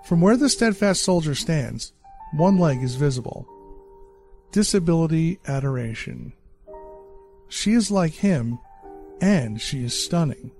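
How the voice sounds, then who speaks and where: A man's voice: a little high, darkish tone. A man narrating a short story with wry, arch asides, with faint music behind him.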